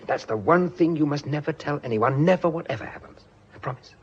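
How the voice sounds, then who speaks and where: A man's voice: fairly high pitch, animated, brisk, natural voice. An actor playing an urgent, commanding man on the run in a British radio drama, clipped and insistent. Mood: tense, secretive. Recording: muffled radio recording, slight noise.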